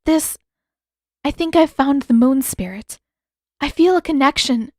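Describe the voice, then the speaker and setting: A woman voicing a gentle, earnest young woman with a pleading, devoted manner in an audiobook story. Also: high voice, unhurried, no room sound, studio quality, pitched above the performer's own voice. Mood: earnest, urgent.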